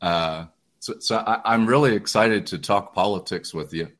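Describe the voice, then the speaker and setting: A man's voice: lowish voice, normal speed, fairly bright tone. An American man hosting a podcast interview, introducing his guest in a loose, rambling way with many fillers and restarts. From United States. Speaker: podcast host.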